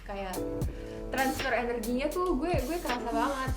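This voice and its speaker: A woman's voice: high voice, expressive, normal speed. A young woman with a Jakarta accent chats casually as a band singer being interviewed. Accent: Jakarta Indonesian. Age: young adult. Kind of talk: casual chat. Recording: roomy echo, loud music behind.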